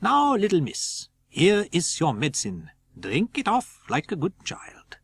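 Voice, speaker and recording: A man voicing a grave, kindly foreign professor, speaking with deliberate emphasis and quaint non-native phrasing in an audiobook. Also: high voice, wildly swooping pitch, vocal fry, slightly rough, no room sound, studio quality, voice pushed up, exaggerated voice. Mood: cheery, coaxing.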